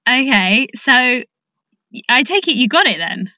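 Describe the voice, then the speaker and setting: A woman's voice: a little high, sing-song, unhurried, bright, forceful tone, no echo. A woman hosting a casual conversation, engaged and encouraging as she probes with questions and reflections. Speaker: podcast host.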